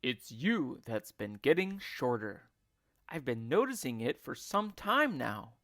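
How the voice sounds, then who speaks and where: A man voicing a gleefully cruel, scheming husband in a storybook, taunting with emphatic, drawn-out words. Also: medium pitch, very animated, a bit bright, no room sound, clean studio sound, exaggerated voice. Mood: scornful.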